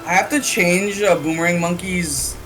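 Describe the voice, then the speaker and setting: A man's voice: sits high, moderate pace, music in the background, low-quality recording. A man chatting casually over a game, slangy and often swearing. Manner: profane, slangy. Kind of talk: casual gaming chat.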